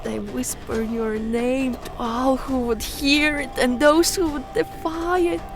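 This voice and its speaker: Actress doing proud vengeful noblewoman, super slow and intense, fantasy audio drama, music behind her. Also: sits high, steady tone. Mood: adoring, intense. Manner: solemn, deliberate, theatrical delivery. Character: proud, fervent highborn noblewoman, devoted and vengeful.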